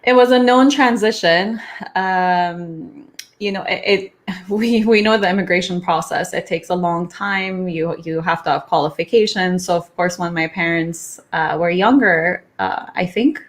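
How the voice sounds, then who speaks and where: A young woman from Iran speaks as a guest in a casual interview. Her voice sits a little low, and she talks in a chatty, loose way. She laughs often and restarts sentences as she goes. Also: a little slow. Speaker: immigration lawyer, podcast guest.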